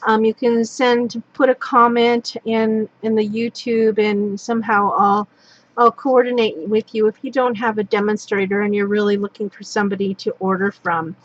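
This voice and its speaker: A woman from Northern California, hoarse and losing her voice, casually walking through a product catalog with lots of ums and restarts, recorded at a table with an overhead camera. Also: medium pitch, flat delivery, moderate pace, lo-fi sound. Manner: rambling, full of ums and restarts. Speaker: craft product demonstrator. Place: indoors at a wooden table. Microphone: overhead camera on a tabletop, hands only.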